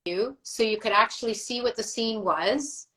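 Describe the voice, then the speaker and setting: A woman's voice: a little high, moderate pace, fairly bright tone, poor audio. A middle-aged woman, a voice actress, chatting as a guest on a live video call, rambling through memories with fillers and laughs. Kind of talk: casual livestream chat.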